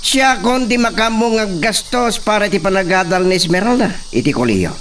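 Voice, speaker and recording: A man playing a lively, proud rich young master. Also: sits high, brisk. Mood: persuasive. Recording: from far off, faint insect noise.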